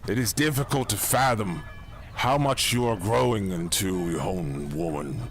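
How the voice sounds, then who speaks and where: Fantasy audio drama king, played by a man: very low, gravelly, fatherly and stern about duty, speaking formally. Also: unhurried, slight background noise. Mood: tender, proud. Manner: formal, archaic medieval speech.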